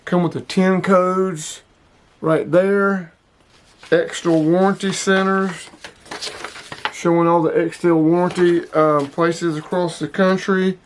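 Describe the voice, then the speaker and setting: A man's voice: sits high, level tone, slow, slight background noise. A man in his sixties hosting a casual hobby product showcase, speaking emphatically and repeating himself to drive points home. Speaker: host of a hobby radio video.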